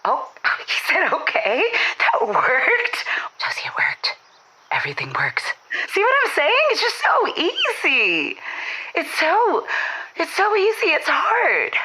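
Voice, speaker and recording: Wronged wife, radio comedy caller, frazzled, defiant, breathless rambling, animated pitch, bright tone. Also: female voice, slow pace, slight background noise, heavily acted, poor audio. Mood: giddy, worn out. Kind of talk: comedic audio drama of a call-in show.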